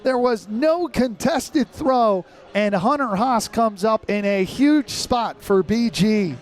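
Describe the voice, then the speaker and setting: High-voiced American baseball play-by-play man. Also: slow. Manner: clipped descriptive phrasing. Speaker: baseball radio play-by-play announcer. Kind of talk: live sports commentary.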